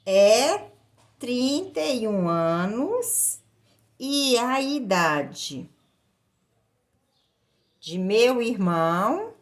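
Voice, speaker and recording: Woman, Brazilian accent, higher-pitched voice, very slow pace, didactic teacher, step-by-step explanation. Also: big pitch swings. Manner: didactic, repeats phrases and checks in with short tag questions. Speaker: maths teacher.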